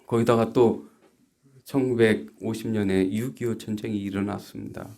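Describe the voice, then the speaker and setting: A man from South Korea preaching a church sermon as a pastor, haltingly, moving between quiet asides and emphatic rhetorical questions. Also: lowish voice, fairly even intonation.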